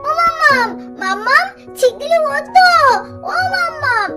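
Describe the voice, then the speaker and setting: Casting: eager, pleading little doll girl, extremely high childish voice, playful and stubborn, cartoon story, music behind. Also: expressive, a little slow. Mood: curious. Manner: childish, wheedling, repeats words.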